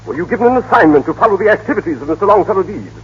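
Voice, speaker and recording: Animated, formal old-time radio courtroom lawyer. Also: male voice, high voice, racing. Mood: pressing. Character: smooth, insistent male prosecuting lawyer in a courtroom. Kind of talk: 1930s-40s radio drama acting. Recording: muffled, noisy old radio broadcast.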